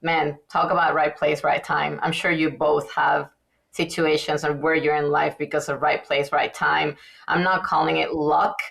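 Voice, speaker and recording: A woman from Venezuela chats as a podcast guest, her low voice gravelly with fry. She talks in long, animated runs full of fillers and restarts. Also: moderate pace. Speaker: guest dentist. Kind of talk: casual podcast interview.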